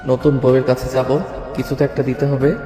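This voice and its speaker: A man's voice: mid-range voice, level tone, brisk, natural voice. In a reverberant audio drama with music underneath, a man plays a principled young male student. He speaks evenly and seriously, holding himself back. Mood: calm. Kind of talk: Bengali audio story acting. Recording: echoey long reverb, music bed.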